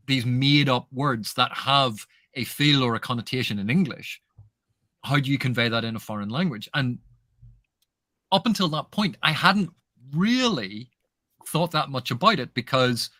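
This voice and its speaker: A man's voice: medium pitch, bright, forceful tone, no room sound, clean studio sound. A man guesting on a book chat, musing aloud and asking rhetorical questions.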